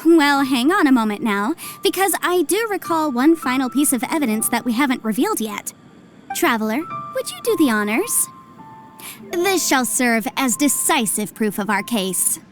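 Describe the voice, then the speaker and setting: An actress playing a confident young legal adviser in a video game, speaking in crisp, formal, lawyerly phrases. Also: female voice, high-pitched, very animated, normal speed, soft background music. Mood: coy, confident. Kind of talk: video game cutscene voice acting.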